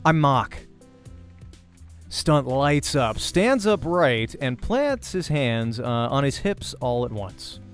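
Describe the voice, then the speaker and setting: A man's voice: mid-range voice, sing-song, takes it slowly, few pauses, soft background music. A man reading a visual novel aloud as dramatic narration, sometimes stumbling over words and correcting himself. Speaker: let's play host and voice actor reading a visual novel.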